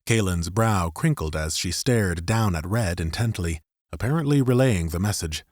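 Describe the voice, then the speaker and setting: Man, low pitch, audiobook narrator, scripted fiction storytelling, dry close studio recording. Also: animated, normal speed, darkish tone, no echo.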